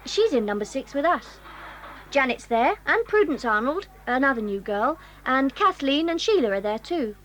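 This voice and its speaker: A woman's voice: high voice, big pitch swings, fairly bright tone, low-quality recording. A woman with an English accent playing a friendly, gossipy boarding-school girl in a children's audio drama. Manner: brisk, gossipy, exclamatory.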